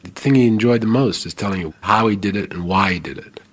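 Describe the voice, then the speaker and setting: A man's voice: lowish voice, quick pace. A man reflecting as a film commentator in a documentary interview.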